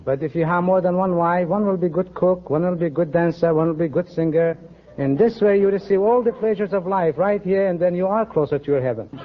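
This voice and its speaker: A man's voice: sits high, fairly quick. A man with a Persian accent giving polite, formal answers as a contestant on a radio quiz show. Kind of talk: polite interview answers and anecdotes. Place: radio studio stage with audience. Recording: muffled vintage radio broadcast recording.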